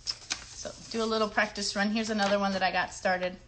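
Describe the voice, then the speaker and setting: A woman's voice: medium pitch, flat delivery, a little fast, bright, forceful tone, slight background noise. A woman hosting a children's craft show, giving simple step-by-step directions in an encouraging way and putting questions to the young viewers.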